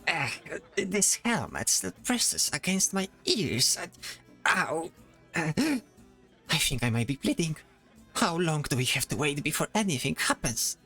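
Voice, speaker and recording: Man acting as fussy bard, wildly animated pitch. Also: measured pace, faint music behind, full character voice. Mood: whining, pained. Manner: sighing, fussy, expressive. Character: chatty, complaining, worrying bard disguised in knight's armor. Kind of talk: audio drama dialogue.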